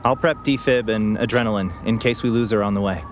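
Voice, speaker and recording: An actor playing an eager, earnest paramedic obsessed with crime theories in an audio drama. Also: male voice, fairly low pitch, fast talker, close to an everyday voice. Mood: focused. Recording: clear vehicle and siren noise behind. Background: ambulance and siren sound effects.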